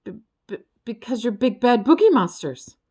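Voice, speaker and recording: A woman's voice: fairly high pitch, very animated, normal speed, a little mellow, slightly rough, pitched above the performer's own voice, heavily acted. A woman voicing a timid, earnest, polite male creature, part fish and part bird, in a children's storybook. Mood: sputtering. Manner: earnest, pleading.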